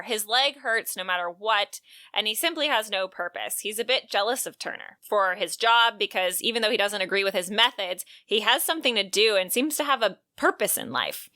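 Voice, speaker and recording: A woman's voice: medium pitch, sharp tone, no room sound, clean studio sound. A woman co-hosting a podcast, recapping and discussing a book. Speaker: podcast co-host. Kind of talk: book discussion with plot recap and read quotes.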